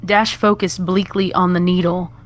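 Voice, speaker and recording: A woman with a somewhat low voice narrates a horror tale. She reads from a script as the storyteller. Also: flat delivery, moderate pace.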